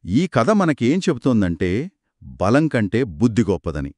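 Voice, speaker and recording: A man's voice: mid-range voice, unhurried, dry recording. A man co-narrating a folktale for children in a conversational style, putting questions to his listeners. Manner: animated, poses questions to listeners. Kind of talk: conversational two-person storytelling for children.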